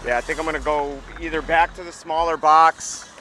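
A man filming a casual car audio vlog outdoors by his van, talking loudly and upbeat to the camera. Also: steady tone, fairly quick, background noise. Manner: loud, upbeat, talking over booming bass. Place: outdoors by a van in a parking lot. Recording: loud bass music behind.

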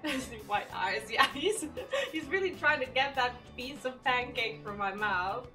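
A woman's voice: fairly high pitch, animated, measured pace, sharp tone, recorded from a distance, soft background music. A young woman from Serbia chatting casually with her live-stream chat and her dog while playing a game at home.

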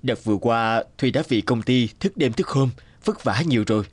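A man playing a smooth, insistent middle-aged company boss in a cartoon, suave and authoritative. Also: medium pitch, lively intonation, brisk, no echo. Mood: flattering.

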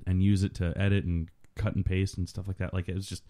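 A man's voice: low-pitched, little pitch movement, fast talker, warm tone. A man chatting casually on a film commentary podcast, explaining things in a rambling, geeky way.

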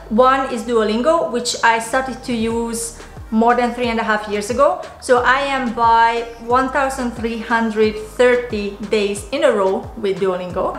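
A woman in her twenties with a Hungarian accent, a YouTuber earnestly explaining tips to camera from her home office. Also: a little high. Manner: earnest, explaining step by step, slight non-native phrasing. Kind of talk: casual to-camera tips vlog. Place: small home office indoors. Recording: roomy home office, faint music.

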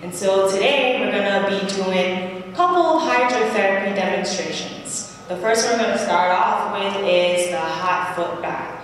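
A young woman giving a live health demonstration, speaking loudly through a handheld microphone and putting questions to the audience. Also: medium pitch, moderate pace, a little hiss or hum. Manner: projecting, quizzing the audience. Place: large church hall. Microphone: handheld microphone, far camera. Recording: very echoey hall, from far off.

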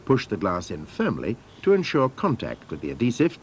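A man's voice: on the low side, wildly swooping pitch, moderate pace, a little hiss or hum. A man narrating scripted instructions for a training film in a formal, methodical manner.

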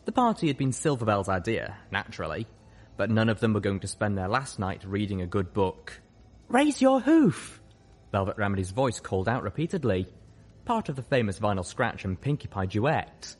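A man's voice: on the low side, lively intonation, a little fast. A man reading a scripted first-person story aloud as an audiobook narrator. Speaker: audiobook reader.

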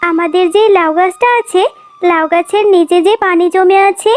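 Little cartoon fox girl, extremely high pitch, childlike, eager, emphatic. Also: steady tone, normal speed, faint music behind. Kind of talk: Bengali children's cartoon dialogue.

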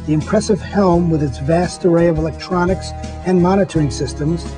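A man's voice: a little high, fairly even intonation, fairly quick, over music. A man, a magazine editor, walking viewers through a boat and pointing out its features one by one in an upbeat tour narration.

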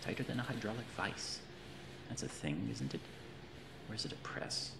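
In an echoing space over noisy ambience, a man acts out an eccentric mad reanimator for an ASMR horror roleplay. The male voice is gravelly and swoops widely, theatrical and fussily polite. He is a creepy, cheerful captor who rambles off on tangents. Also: on the low side, expressive, normal speed. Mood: fussy, eccentric. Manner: theatrical, digressive, politely fussy, macabre. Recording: roomy echo with noisy ambient backdrop.

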